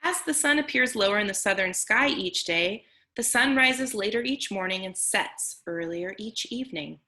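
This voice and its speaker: Woman teacher reading aloud, bright creaky voice. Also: medium pitch, expressive, normal speed. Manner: explanatory, breaking down words simply. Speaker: teacher reading a picture book aloud to children. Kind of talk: read-aloud lesson with simple explanations. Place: online video meeting with screen sharing.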